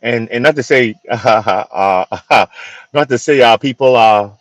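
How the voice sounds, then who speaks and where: A man from the Bahamas co-hosting a casual podcast chat, halting and repeating words with many fillers. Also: mid-range voice, no echo. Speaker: co-host.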